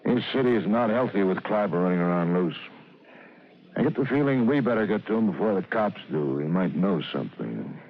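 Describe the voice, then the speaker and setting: A man playing a ruthless, irritable American racketeer boss with a gravelly voice in a 1950s radio drama. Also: fairly low pitch, expressive, fairly quick. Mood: cold, menacing. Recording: tinny noisy vintage radio recording.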